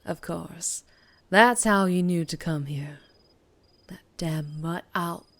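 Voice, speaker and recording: Casting: woman to play a sultry Southern US female vampire biker for ASMR roleplay; low register, unhurried, teasing and guarded. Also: fairly bright tone, no room sound. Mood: wry, wary. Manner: slow, low, teasing, lapsing into breathy whispers. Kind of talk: ASMR roleplay, scripted character acting.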